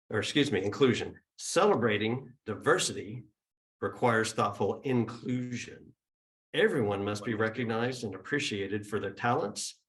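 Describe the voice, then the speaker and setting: A man giving chatty, informal opening remarks at a church service, speaking to a hall audience and online viewers on a mic that sometimes drops out. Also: fairly low pitch. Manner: chatty and informal, with ums and uhs.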